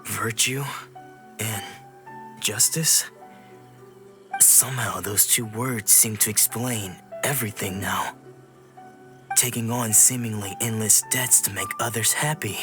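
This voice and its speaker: An actor playing a weary, troubled swordsman in a video game, speaking in a brooding, measured, reflective way. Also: lowish voice. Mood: bitter, anguished. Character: weary, troubled swordsman who lost his memories. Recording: music behind the voice.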